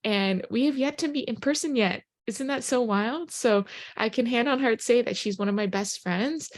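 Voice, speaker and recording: A woman's voice: on the high side, moderate pace. A woman in her twenties whose first language is Japanese gives an upbeat, earnest motivational recruiting talk on a business call. She speaks in English and leans on "you know" as a filler. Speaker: presenter on a business opportunity call.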